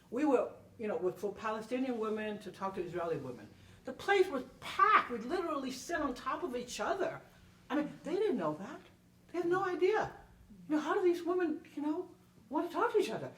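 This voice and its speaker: Emphatic woman in a roomy, distant recording. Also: medium pitch. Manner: emphatic, repeats herself, checks in with "okay?". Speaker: panel speaker, performer answering an audience. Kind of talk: animated conversational storytelling to an audience. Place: indoor library event room, far microphone. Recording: roomy, distant event recording with faint noise.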